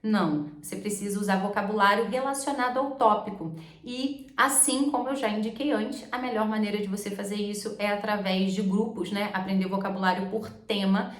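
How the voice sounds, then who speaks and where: A woman's voice: mid-range voice, a little fast. A woman with a Brazilian Portuguese accent explaining exam tips to the camera as an English teacher. She checks in with her listeners often. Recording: echoey room.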